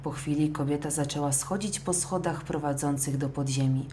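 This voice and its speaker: A woman narrating a scripted children's audio story in an expressive, dramatic way. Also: low voice, normal speed, a little hiss or hum.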